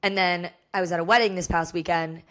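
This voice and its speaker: Hoarse, low-voiced young American woman. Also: steady tone, fast, a bit bright. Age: young adult. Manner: chatty, candid, frequent "like". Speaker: podcast host. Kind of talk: casual confessional solo podcast talk.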